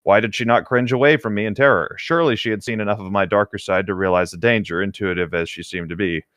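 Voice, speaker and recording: A man's voice: on the low side, fast, fairly bright tone, dry recording, clean studio sound. A man reading a novel aloud as co-host of a read-along, with casual commentary.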